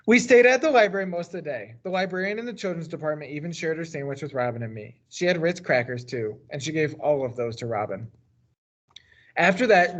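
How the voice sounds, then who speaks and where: An American man reads a children's story aloud in a slightly high-pitched voice. He leans into the start of each phrase and lets the endings drop. The recording is a little dull and lo-fi. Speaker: teacher reading a book aloud. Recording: dull, lo-fi sound.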